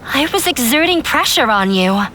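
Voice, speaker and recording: A woman's voice: high-pitched, very animated, moderate pace, sharp tone, slight background noise, exaggerated voice. A woman playing an earnest, resolute young daughter who defies her strict father in a video game, speaking solemnly and articulately. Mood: earnest, confessional. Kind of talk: dramatic video game voice acting.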